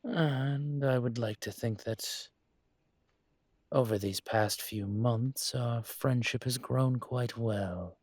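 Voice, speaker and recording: Man acting as a husky, slow-spoken dragon mafia boss. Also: mid-range voice, dry recording. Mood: teasing, fond. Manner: measured, gentle, formal, teasing. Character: suave male dragon mafia boss, courteous, teasing, flirtatious. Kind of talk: intimate roleplay audio acting.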